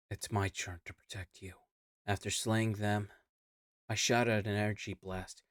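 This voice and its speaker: A man narrating a scripted first-person audio log in a measured, somber storytelling manner. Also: low-pitched, no echo, clean studio sound. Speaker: VTuber narrating his character's lore.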